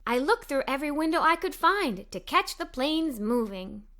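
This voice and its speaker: A woman's voice: high-pitched, animated, moderate pace, a bit bright. A woman reading a children's story aloud, expressively, as a cheerful young girl narrator. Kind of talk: scripted read-aloud storytelling for kids.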